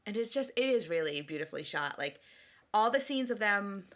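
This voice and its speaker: Giggly, bright-voiced woman podcast co-host. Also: medium pitch, lively intonation, a little fast. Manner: giggly, chatty, restarts a lot. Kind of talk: casual chat.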